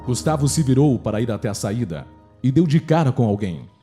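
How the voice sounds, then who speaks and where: A Brazilian man narrates a scripted horror story. His voice is mid-pitched and mellow, reading as the narrator of a radio drama. Faint music plays behind him. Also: big pitch swings, a little fast.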